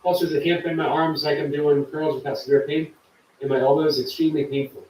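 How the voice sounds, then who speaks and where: A man's voice: on the high side, little pitch movement, quick pace, reverberant, recorded from a distance, low-quality recording. A man hosting a live stream, chatting casually and reading viewer comments aloud. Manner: chatty, warm to viewers, reads chat aloud. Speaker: livestream host.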